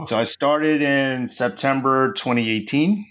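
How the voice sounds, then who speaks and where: Brief: American guest, mid-low voice, sincere and steady, slightly muffled call audio. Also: male voice, unhurried. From United States. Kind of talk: casual interview talk.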